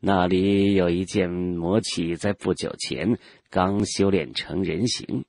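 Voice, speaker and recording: A man voicing an old male cultivator elder in a fantasy audiobook, haughty and knowing, with measured, condescending, old-fashioned speech. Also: deep voice, fairly even intonation, a little mellow, no room sound, voice pushed down, full character voice. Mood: mocking. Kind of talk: Chinese fantasy audiobook character acting.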